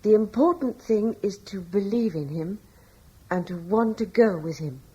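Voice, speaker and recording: Young wife, period radio drama. Breathy, gentle, quietly devout, hesitant but reassuring. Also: female voice, mid-range voice, lively intonation, a little slow, dull audio. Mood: earnest, gentle. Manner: earnest, tender, hesitant.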